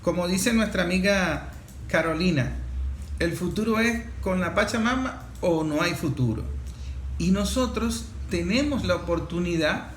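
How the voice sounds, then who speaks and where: A man's voice: high-pitched, expressive, a little slow, a little hiss or hum. A man with a Venezuelan accent hosting a talk programme, speaking earnestly and repeating phrases for emphasis. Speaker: programme host. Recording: roomy echo, faint music behind.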